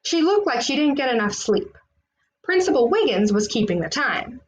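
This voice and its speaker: A woman's voice: high voice, very animated, normal speed, slight background noise, poor audio. A woman reading a children's storybook aloud, narrating expressively in the words of a school kid. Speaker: children's read-aloud host.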